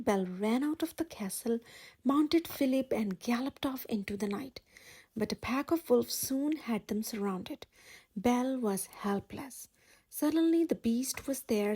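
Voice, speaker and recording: High, breathy woman reading a storybook. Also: mellow. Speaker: children's storybook reader. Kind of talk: expressive scripted read-aloud narration for children.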